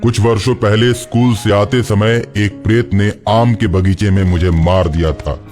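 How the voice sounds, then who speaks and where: A man voicing a kindly, protective village ghost, speaking solemnly and deliberately in a cartoon moral story. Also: fairly low pitch, fairly even intonation, a little mellow, faint music behind, pitched below the performer's own voice, lightly acted. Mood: solemn, revealing. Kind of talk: animated Hindi moral story dialogue.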